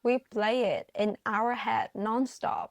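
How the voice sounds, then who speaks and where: A woman's voice: fairly high pitch, steady tone, slow pace, creaky, no echo, studio quality. A young woman with a non-native English accent, speaking earnestly and encouragingly to viewers in a scripted advice monologue. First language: non-English, learned English at school. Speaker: vlogger giving advice to migrants.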